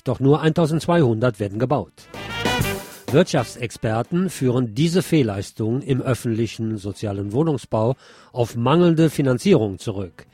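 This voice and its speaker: A man's voice: mid-range voice, warm tone, slightly rough. A man presenting scripted news on the radio, reading as a presenter.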